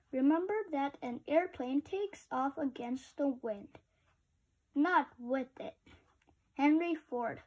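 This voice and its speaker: Female read-aloud host, high pitch, slow delivery, informal and childlike in commentary. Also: darkish tone. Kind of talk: reading a picture book aloud with casual asides to children.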